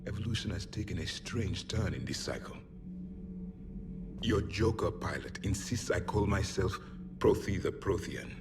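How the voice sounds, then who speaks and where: An actor voicing a haughty, stern ancient alien warrior in a video game, speaking deliberately and with disdain. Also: male voice, deep voice, distant mic, music in the background. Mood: haughty, irritated.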